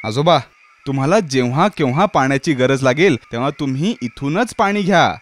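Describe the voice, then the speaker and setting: A man voicing an eager, polite, naive young villager in a children's fairy-tale cartoon, earnest and excitable in his delivery. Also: medium pitch, normal speed, close to an everyday voice. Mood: helpful, earnest. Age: young adult.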